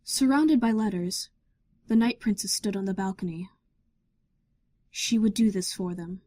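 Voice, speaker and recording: A woman's voice: medium pitch, unhurried, mellow, dry recording. A woman narrating a scripted story in a dramatic reading style.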